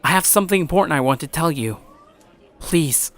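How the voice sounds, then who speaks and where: A man's voice: a little high, expressive, brisk, fairly bright tone. A man playing an earnest, troubled teenage schoolboy, telling his own story in dramatic first-person visual novel narration. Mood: pensive.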